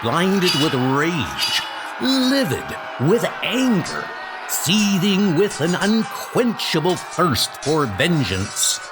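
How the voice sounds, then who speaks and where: Dramatic, slow male comic book narrator. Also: on the high side, wildly swooping pitch, music in the background.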